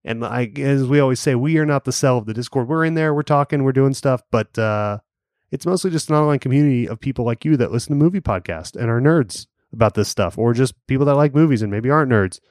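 A man's voice: medium pitch, fast talker, darkish tone, dry recording, clean studio sound. A man co-hosting a podcast, chatting casually with plenty of fillers and restarts. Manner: rambling, lots of fillers and restarts. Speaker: podcast co-host. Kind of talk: casual chat.

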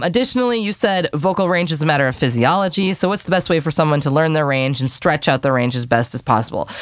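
A woman vocal coach with a low voice, chatting through viewer questions on singing and demonstrating now and then. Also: no room sound. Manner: chatty, demonstrative, sings sample phrases.

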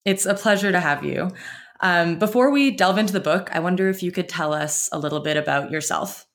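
Woman podcast host, lower-pitched voice, measured and clear. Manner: measured, welcoming introductions. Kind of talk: podcast interview.

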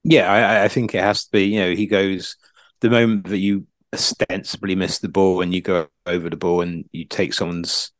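A man giving casual football analysis as a pundit, haltingly, repeating words as he thinks. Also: sits low, fairly even intonation, no echo.

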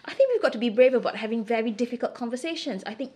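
A woman's voice: on the high side, animated, racing, warm tone, slightly rough. A middle-aged woman from Singapore answering interview questions in a candid, emphatic, conversational way. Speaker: interview guest.

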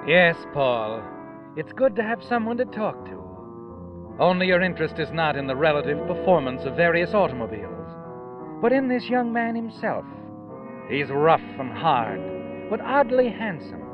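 Creepy knowing night narrator, old radio suspense, muffled sound, music behind. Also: male voice, on the high side, big pitch swings, moderate pace. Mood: knowing, insinuating. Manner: knowing, dramatic, insinuating.

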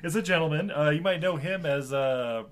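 A man's voice: fairly high pitch, fairly quick. A young man hosting a casual movie podcast, running through cast and crew lists with lots of ums and laughter. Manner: laughing often, listing credits with filler ums. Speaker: podcast host.

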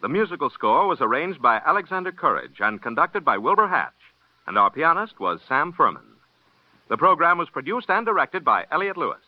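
A man's voice: on the high side, sing-song, fairly quick, dry recording. An American man reading scripted closing credits as a radio network announcer in a vintage broadcast style. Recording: dull old radio recording, music behind.

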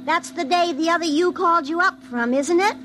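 A woman's voice: very high voice, a little fast, fairly bright tone, slight background noise. A woman playing a devoted, bossy young girlfriend in a radio comedy drama, speaking in a firm, earnest, no-nonsense way. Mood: stern. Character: bossy, devoted young girlfriend determined to reform her man.

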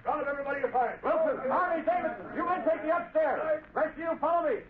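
An actor playing a brisk, commanding police captain shouting clipped orders in a 1930s radio drama. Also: male voice, very high-pitched, reverberant, a crowd in the background. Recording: tinny, very noisy 1930s radio recording.

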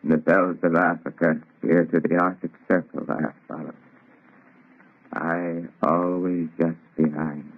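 A man playing a weary, dying old wanderer in an old radio drama, husky and mournful. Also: low voice, flat delivery, a little slow. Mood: weary, bitter. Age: older. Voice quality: husky, breathy. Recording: muffled lo-fi old radio recording.

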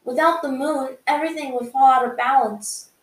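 An earnest young boy monk pleads urgently in a raised, higher voice, as a woman reading a story puts him on. He sounds young and insistent. Echo hangs around the voice. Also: steady tone, moderate pace, distant mic, lightly acted. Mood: pleading.

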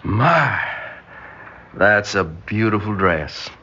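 Animated young charmer. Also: male voice, fairly low pitch, very slow, breathy edge, full character voice. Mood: flattering. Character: smooth-talking, scheming young Southern man, a returned drifter. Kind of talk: vintage-style radio drama acting. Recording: dull lo-fi radio recording, faint noise.

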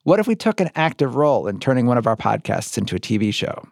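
A man's voice: mid-range voice, big pitch swings, quick pace, creaky, no echo, studio quality. An American man hosting and narrating a podcast in a scripted but conversational storytelling style.

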